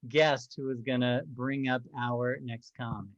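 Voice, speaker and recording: A man's voice: mid-range voice, flat delivery, measured pace, muffled recording. A young man doing a stand-up set over a video call, firing off punchy, self-deprecating one-liners. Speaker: comedian and host.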